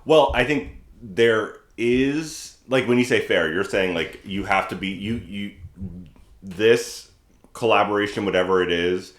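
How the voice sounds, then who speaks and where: A man co-hosting a podcast, talking casually and analytically, measured and qualifying his points as he thinks aloud. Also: lowish voice. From United States. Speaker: podcast co-host. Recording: roomy, echoey sound.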